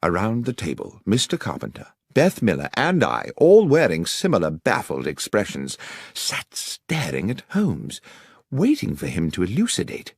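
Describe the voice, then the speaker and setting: Low-voiced, expressive male audiobook narrator. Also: creaky, slightly rough, dry recording, studio quality. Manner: measured, expressive storytelling. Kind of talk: dramatic scripted narration.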